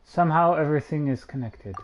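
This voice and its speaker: A man streaming a game, reading dialogue aloud with casual asides in a low-key, halting way. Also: background noise. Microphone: home streaming microphone. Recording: dull, lo-fi streamer microphone.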